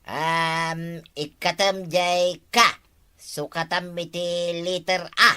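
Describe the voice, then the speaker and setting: A man plays an old Ilocano villager in a broad comedy drama. He grumbles and wheedles insistently in a bright, forceful voice. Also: high voice, level tone, slow pace, smooth, full character voice. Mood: wheedling. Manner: complaining, insistent. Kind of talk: broad barangay comedy acting.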